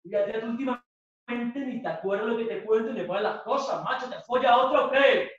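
A woman's voice: a little high, a little fast, natural voice. A woman acts out a volatile, controlling boyfriend with an accent from Spain, hurling accusations in a stage monologue. The delivery is aggressive and repetitive, always demanding respect. It sounds far from the microphone, muffled, in a very reverberant room. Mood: jealous, accusing. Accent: Spanish from Spain. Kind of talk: theatrical monologue acting.

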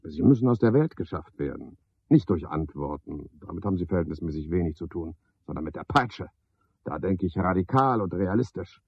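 An actor playing a pedantic, misanthropic night porter in a radio drama, a man with a husky, gravelly voice and a pompous, sardonic manner. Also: sits low, lively intonation, a little fast, no echo, full character voice. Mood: scornful, ranting. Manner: pompous, sardonic, ornate wording. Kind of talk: 1970s German radio drama monologue. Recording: dull, dry 1970s radio recording.

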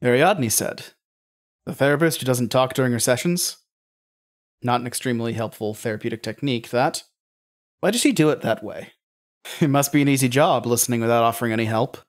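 An actor playing a cool, probing interrogator in an audio drama, speaking in a dry, deliberate, mocking way. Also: male voice, mid-range voice, natural voice. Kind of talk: audio drama acting, naturalistic.

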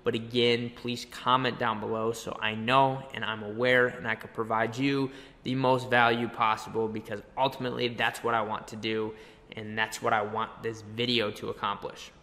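Tutorial presenter: young male, mid pitch, crisp bright edge, conversational and explanatory, long room reverb. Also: little pitch movement, moderate pace. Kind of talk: conversational screen-share tutorial. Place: indoor room, webcam beside screen capture.

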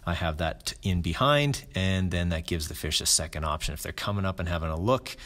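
A middle-aged man hosting a fly fishing channel, explaining his gear and rigs in a relaxed voice-over with many ums and restarts. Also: low voice, fairly quick.

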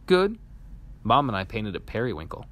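A man's voice: on the low side, big pitch swings, normal speed, a bit bright. A man voicing a curious, eager young child in a storybook, with a questioning manner. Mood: cheerful.

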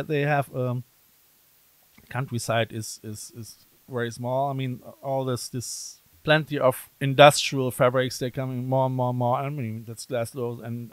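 Halting German-accented male podcast host. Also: medium pitch, no room sound. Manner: halting, repeats words, laughs often. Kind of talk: casual interview.

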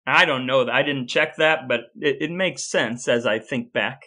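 Bright-voiced man telling history on a podcast. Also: mid-range voice, normal speed. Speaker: podcast co-host. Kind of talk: informal history storytelling with quoted readings.

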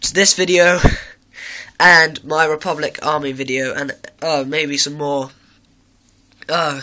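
A man's voice: a little high, bright, forceful tone. A teenage boy with a cold and sore throat, casually showing off a model build, rambling with many fillers and self-corrections. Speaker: hobby video maker. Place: indoor room at home, filming with a handheld camera.

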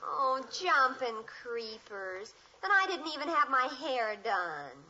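A girlish American teen from a fifties radio sitcom. She is earnest and dramatic, very high and bright in voice, on dull old radio audio. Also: sing-song, slow, full character voice. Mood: plaintive. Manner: girlish, plaintive. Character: earnest, dramatic teenage daughter. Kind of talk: 1950s radio sitcom acting. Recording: muffled old radio recording.

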